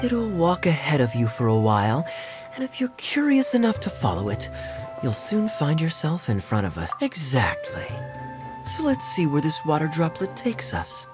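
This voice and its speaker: An actor playing a calm, teasing adult male knight in a game, speaking smoothly and explaining things in a relaxed way. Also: fairly high pitch, moderate pace. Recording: game audio with faint music.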